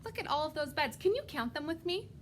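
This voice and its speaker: A woman with a fairly high voice reads aloud for preschool children. She is expressive and speaks simply, prompting her young listeners with questions. Some noise sits in the background of the recording. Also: quick pace. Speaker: preschool teacher.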